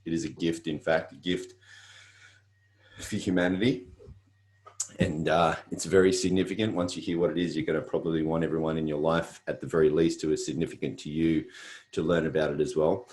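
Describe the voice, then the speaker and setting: A man's voice: very deep voice, level tone, a little fast, a little mellow. A middle-aged Australian man hosting an online presentation, talking in an earnest, repetitive way with frequent fillers. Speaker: webinar host. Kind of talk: motivational presentation.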